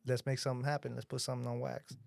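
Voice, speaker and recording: A man's voice: mid-range voice, level tone, very fast, vocal fry, darkish tone, dry recording. A man in his twenties casually telling a story in a podcast chat, laid-back, with loose slang and swearing. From United States. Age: 20s. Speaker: rapper and producer.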